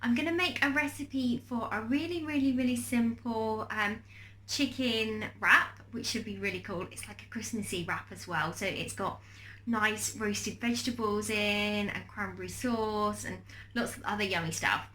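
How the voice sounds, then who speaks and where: A woman's voice: mid-range voice, normal speed, bright, forceful tone, slight background noise. A young woman with a slight cold, chatting casually in a cooking and eating vlog, rambling with many ums and restarts. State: has a cold, slightly wheezy. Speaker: vlogger.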